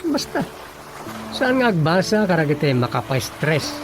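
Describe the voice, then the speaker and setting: An actor with an Ilocano Filipino accent playing an easygoing, persuasive husband in a comedy radio drama, chatty and coaxing. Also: male voice, a little high, big pitch swings, normal speed, over music. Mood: coaxing, playful.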